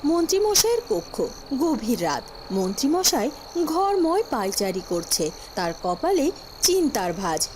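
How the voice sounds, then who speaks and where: A woman's voice: a little high, sing-song, a little slow, background noise. A woman narrating a scripted fairy-tale cartoon story.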